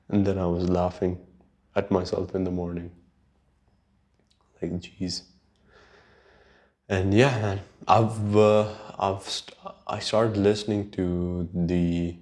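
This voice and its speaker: Slow, low-voiced man with Urdu accent. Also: a little mellow. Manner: unhurried, halting, sweary. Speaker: podcast host. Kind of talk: casual rambling solo podcast chat.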